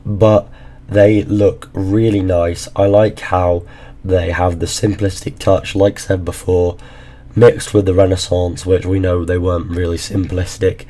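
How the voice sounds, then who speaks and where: A man with a hoarse, fading voice, talking through a casual gaming countdown in a rambling, informal way. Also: deep voice, little pitch movement, measured pace, warm tone. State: hoarse, losing his voice. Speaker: gaming YouTuber. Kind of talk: casual ranking commentary.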